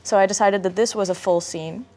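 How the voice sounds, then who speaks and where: A woman's voice: medium pitch, fairly even intonation, a little fast, mellow. A woman explaining her creative process as an animator in an interview.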